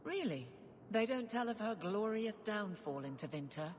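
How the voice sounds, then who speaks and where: A woman's voice: mid-range voice, expressive, moderate pace, in a large hall, slight background noise, lightly acted. An actress playing a stern, blunt female captain of the city guard in a video game. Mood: dry, wry. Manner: blunt, commanding.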